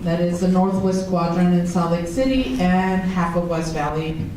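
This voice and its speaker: A woman's voice: on the low side, normal speed. A woman, introducing herself on a panel in a candid, self-deprecating way. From Mexico. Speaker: state senator panelist. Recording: echoey hall, far from mic, noisy.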